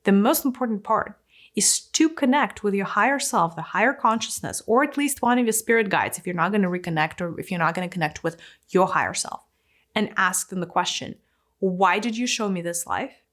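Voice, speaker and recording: A woman in her thirties giving a casual, animated talk to camera in a room, now and then dropping to a near-whisper for emphasis. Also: medium pitch, fairly quick. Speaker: spiritual teacher. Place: indoor room with plants and a window. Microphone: fixed camera, medium framing.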